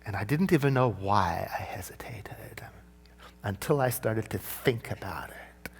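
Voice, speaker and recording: Minister, male, South African accent, chatty storyteller in the pulpit, very expressive pitch. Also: measured pace, breathy edge. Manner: conversational, storytelling with frequent "you know" asides. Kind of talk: sermon.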